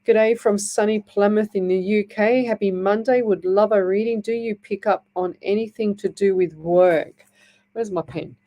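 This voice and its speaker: A woman's voice: medium pitch, fairly even intonation, moderate pace, dull audio. A woman hosting a live psychic radio show, giving readings in a rambling way with many fillers and restarts. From Australia. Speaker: psychic medium radio host.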